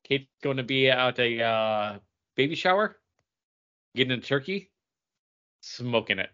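A man's voice: mid-range voice, lively intonation, unhurried, a bit bright. A man co-hosting a podcast, chatting casually in short, choppy phrases. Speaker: podcast co-host. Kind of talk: casual chat. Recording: dull lo-fi audio.

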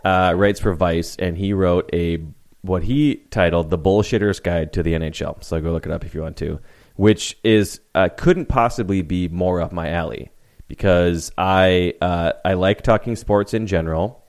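A deep-voiced man co-hosting a loose sports podcast. He rambles, jokes and mocks himself as he talks. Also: normal speed, darkish tone. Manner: joking, rambling, self-mocking. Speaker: podcast co-host. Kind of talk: casual sports chat.

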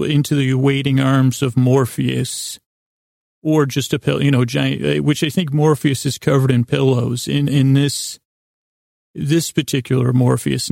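A man's voice: medium pitch, vocal fry, a little mellow, no room sound, studio quality. A man hosting a bedtime podcast in a lulling, meandering improvised monologue, stammering and restarting his sentences as he drifts into tangents. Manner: meandering, stammering, with repeated false starts and tangents; lulling, soothing delivery. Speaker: host of a bedtime sleep podcast.

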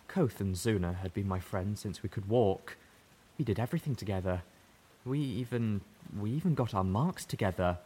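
A man voicing a young, grieving male zebra buck who speaks haltingly and stumbles over his words through tears. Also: fairly low pitch, lively intonation, warm tone, husky.

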